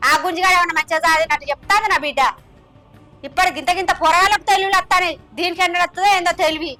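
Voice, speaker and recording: A scolding village mother from a short film, played by a woman, insistent and lecturing. Her voice is very high and bright, and she talks fast. Soft music sits behind her. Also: steady tone.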